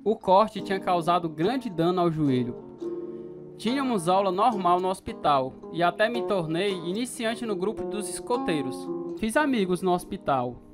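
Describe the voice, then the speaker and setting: A man looking back on his youth in a dramatized radio story. He narrates in a measured, reflective way, with plucked guitar music clearly behind him. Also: sits high, lively intonation.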